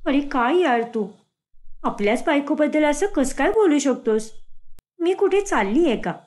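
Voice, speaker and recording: A woman voicing an eager, sweet young mistress in a cartoon story, speaking brightly and expressively. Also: high voice, animated, moderate pace, pitched above the performer's own voice. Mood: indignant.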